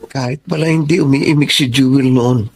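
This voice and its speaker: A man's voice: mid-range voice, fairly even intonation, moderate pace, a little hiss or hum, close to an everyday voice. An actor with a Filipino accent playing a boyish, sentimental young man, a loyal friend, in a radio drama.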